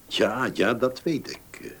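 A man playing a formal, courteous notary with a husky voice in a Dutch radio play. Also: medium pitch, takes it slowly, fairly bright tone. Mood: courteous, knowing.

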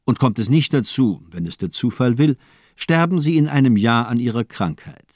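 A man's voice: medium pitch, lively intonation, normal speed, darkish tone, no room sound, clean studio sound, natural voice. An actor playing a murderous, pedantic doctor in a radio crime drama, precise, formal and mocking in his lecturing. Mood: cold, taunting. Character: cold, amused villain doctor, murderous and pedantic.

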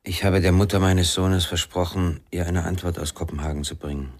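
A weary father with a low, husky voice. He speaks in short, reflective, halting phrases, troubled and searching, in the style of a radio crime play. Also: flat delivery. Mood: grave, insistent. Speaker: radio drama actor. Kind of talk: radio crime drama acting.

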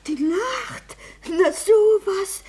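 A nervous elderly landlady in an old radio comedy. The voice is high and slow, fussing and muttering in a flustered way. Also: lively intonation, mellow, heavily acted. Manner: flustered, fussy, muttering to herself. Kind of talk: 1960s German radio comedy acting.

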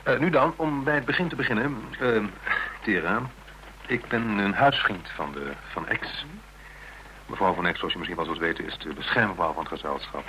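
An actor playing a formal, earnest, wordy legal adviser in a Dutch radio drama, hesitant and precise, with a Netherlands Dutch accent. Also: male voice, sits low, moderate pace, bright, forceful tone. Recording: noisy, hissy radio drama recording.

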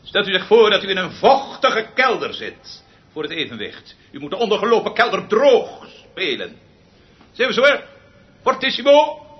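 An actor playing a pompous, exasperated, tyrannical perfectionist conductor in a radio play, emphatic and theatrical. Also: male voice, high voice, big pitch swings, normal speed. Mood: exasperated, commanding. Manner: theatrical, emphatic, swinging from muttering to bellowing. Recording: muffled, noisy old radio play recording.

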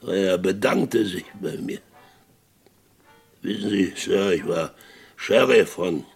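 An old whisky-drinking sheriff in a 1960s radio western, with a heavily creaking, gravelly voice. He talks slowly and haltingly, haunted yet boastful, repeating himself dramatically. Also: low voice, lively intonation, darkish tone, faint music behind, full character voice. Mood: brooding, bitter. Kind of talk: 1960s German western radio play acting.

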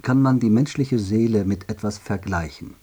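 A man with a dark, husky voice delivering scripted speech for a radio drama. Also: fairly low pitch, moderate pace.

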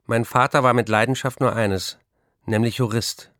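An actor playing a reflective writer who recalls his bookish childhood in measured, literary phrases for a radio play. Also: mid-range voice, steady tone, a bit bright, no echo, studio quality, close to an everyday voice. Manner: measured, literary first-person recollection.

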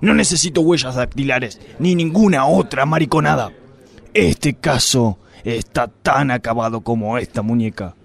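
A boorish, self-important police inspector , voiced by a man, lays on a theatrical, lecherous swagger. His voice is mid-pitched and gravelly. It is an amateur radio play. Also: moderate pace. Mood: pompous. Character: crude, bigoted male police inspector.

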